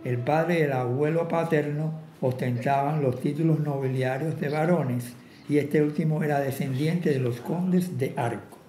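Older male film critic reads his lecture at a desk; measured, mellow tone, roomy sound, faint background hum. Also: a little high. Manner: measured reading with occasional restarts. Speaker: film critic giving a talk. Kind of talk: reading a prepared lecture. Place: office with a desk and cabinets, indoors.

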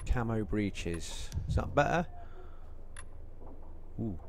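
A man's voice: fairly low pitch, lively intonation, slow, background noise. A man giving casual gameplay commentary, mumbling his thoughts aloud as he plays. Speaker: gamer commentating on play. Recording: loud game music behind voice. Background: game music.

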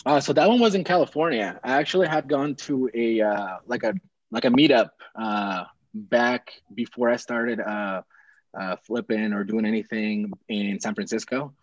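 A man's voice: medium pitch, moderate pace, dry recording. A young man from Peru chatting casually on a video call from a small room at home, telling a rambling story full of fillers and restarts. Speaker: real estate investor guest. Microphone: video call with earbuds. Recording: dull, dry video call audio.

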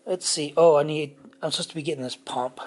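A man casually talking through motorcycle parts in his home garage, rambling with many pauses and fillers, recorded on a handheld camera. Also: on the high side, steady tone, a little mellow. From California. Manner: rambling, many ums and restarts. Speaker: motorcycle vlogger. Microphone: handheld camera mic, off-screen.

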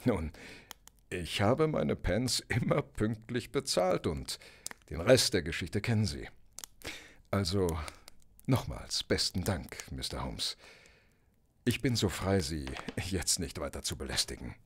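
Radio play role: courteous, long-winded gentleman, deep and husky, slightly sheepish. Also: very animated, takes it slowly, long pauses, full character voice. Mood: courteous, obliging. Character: polite, rambling, slightly sheepish middle-aged gentleman. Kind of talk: German radio play acting.

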